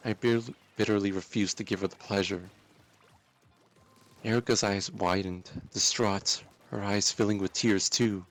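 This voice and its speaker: A man narrating a dramatic first-person story as a storyteller, in a deliberate, intense and emotive way. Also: lowish voice, fairly even intonation, fairly bright tone, soft background music.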